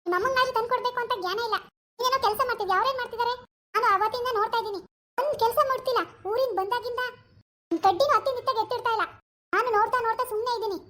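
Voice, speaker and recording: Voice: extremely high pitch, rapid-fire, sharp tone, slight background noise. A performer voicing a spoiled, bossy young wife in a comic family skit who talks in a haughty, whiny way and mixes in English words. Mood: demanding.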